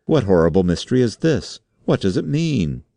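A man's voice: very deep voice, expressive, normal speed, dark tone, no room sound. A man voicing a stern, grave board chairman and magistrate in an audiobook story, speaking formally and with authority. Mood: shocked. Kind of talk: audiobook character acting.

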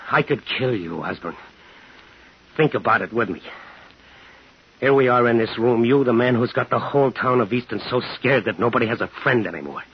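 An actor playing a defiant small-town man who stands up to a crime boss, clipped and deliberate, in 1950s radio thriller style. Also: mid-range voice, expressive, slightly rough. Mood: quietly menacing. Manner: hard-boiled, clipped, deliberate. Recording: muffled 1950s radio broadcast, music behind.

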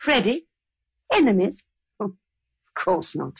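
Sharp-tongued young wife, vintage radio. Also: female voice, medium pitch, very animated, takes it slowly, creaky, husky, full character voice. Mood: cool, scornful. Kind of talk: old-time radio drama acting. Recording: dull old radio recording.

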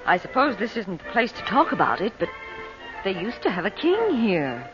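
A woman's voice: medium pitch, huge pitch swings, normal speed. An actress playing an anxious, refined middle-aged mother in an old-time radio drama. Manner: refined, worried. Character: anxious, genteel mother of two. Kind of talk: 1940s radio acting. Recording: dull old radio recording with music.

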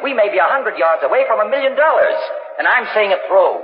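A man's voice: high-pitched, lively intonation, quick pace, narrow, tinny audio, noisy background. An actor playing a bold, insistent male treasure hunter in a 1940s radio thriller. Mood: stubborn, bold. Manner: insistent, dismissive. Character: bold, gold-hungry male treasure hunter, determined and reckless. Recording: echoey, very thin old radio broadcast.

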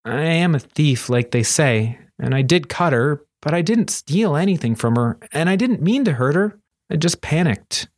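A man's voice: on the high side, animated, normal speed, hardly any pauses, no echo, clean studio sound. A man voicing a defensive, self-deprecating young wizard schoolboy who protests and mumbles, in a storybook reading. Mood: uneasy.

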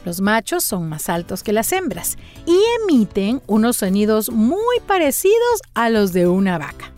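A woman's voice: mid-range voice, unhurried, faint music behind. A woman who hosts a children's storytelling show, chatting playfully and reading out messages from young listeners. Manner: warm, playful and animated, reading out fan messages. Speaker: children's storyteller and channel host. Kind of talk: friendly chat to young listeners.

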